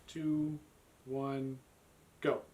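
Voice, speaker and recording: A man's voice: mid-range voice, little pitch movement, drawn-out words, a little mellow. A man hosting a reaction show, chatting casually with a group. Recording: noticeable background noise.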